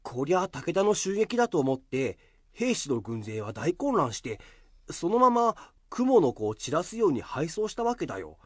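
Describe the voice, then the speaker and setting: A man's voice: fairly high pitch, very animated, moderate pace, slightly rough, no echo. A man playing an earnest, modest schoolboy who loves history, explaining things eagerly in an amateur radio drama.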